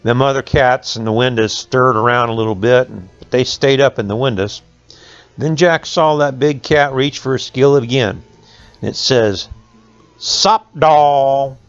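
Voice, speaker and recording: A man's voice: mid-range voice, moderate pace, faint music behind. An older man reading a folk tale aloud as a storyteller, with folksy rural phrasing. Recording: echoey room, slightly dull sound.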